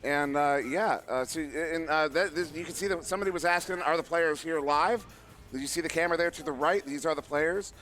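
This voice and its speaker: A man casting a live esports match, joking at his own expense and talking with many fillers, his voice worn and going hoarse. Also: a little high, fairly quick, a bit bright, faint music behind. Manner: joking, self-deprecating, rambling with fillers. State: losing his voice. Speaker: esports caster. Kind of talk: live play-by-play commentary. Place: live event venue.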